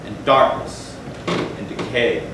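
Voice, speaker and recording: A man narrating gothic horror as a dramatic stage reading, solemn and theatrical with deliberate phrasing. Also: mid-range voice, lively intonation. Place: indoor theatre, recorded from a distance. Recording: very echoey hall, far mic, noisy.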